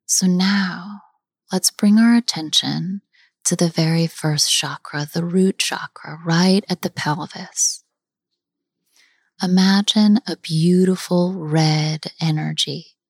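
A woman guiding a chakra body scan meditation, speaking softly and often half-whispering, with long pauses. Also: fairly low pitch, steady tone, gravelly, husky, no room sound, clean studio sound. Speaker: meditation guide. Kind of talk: guided meditation.

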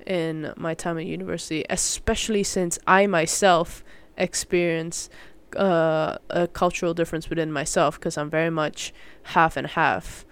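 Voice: medium pitch, a bit bright, clear, resonant tone. A young adult from Malaysia chatting casually and solo as a podcast host, in a rambling, informal way that often breaks off and restarts with mild slang.

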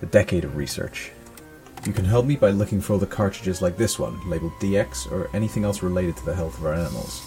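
An actor playing a composed, single-minded scientist in an audio drama, measured, assured and persuasive. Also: male voice, low voice, mellow. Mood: businesslike. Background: music.

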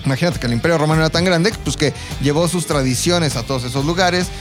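A man's voice: a little high, quick pace. A man with a Mexican accent telling history as casual gossip, explaining informally with slang and imagined quotes. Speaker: podcast co-host telling history. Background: background music.

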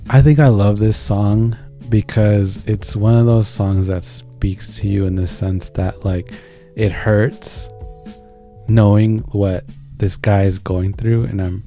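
A man's voice: on the low side, fairly even intonation, slow, dark tone. A man co-hosting a music show, chatting informally and enthusiastically in slangy language as he introduces songs. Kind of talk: casual chat introducing songs.

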